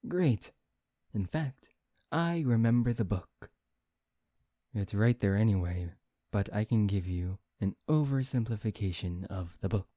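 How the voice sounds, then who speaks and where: A man playing a suave, proud vampire who teases one listener in an intimate, halting ASMR roleplay. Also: lowish voice, dark tone, no echo, studio quality. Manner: teasing, haughty, halting, addressing one listener. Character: suave, teasing male vampire, proud and quick-tempered.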